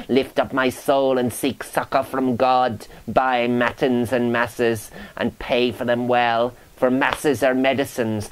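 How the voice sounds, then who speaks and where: A man's voice: medium pitch, clean tone, lightly acted, poor audio. A man voicing a grisly, woeful ghost who solemnly prophesies doom in a dramatic reading of medieval romance verse. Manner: solemn, incantatory, moaning, preaching.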